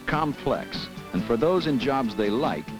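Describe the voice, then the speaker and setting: A man narrates an educational film in a medium-pitched voice with a lively rise and fall. The delivery is scripted, on a vintage film soundtrack with music behind him. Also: unhurried.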